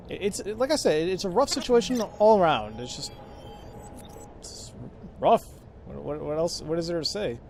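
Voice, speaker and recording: A man giving casual, halting let's play commentary, informally thinking aloud as he goes. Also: on the high side, darkish tone, slight background noise. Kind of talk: casual video game commentary.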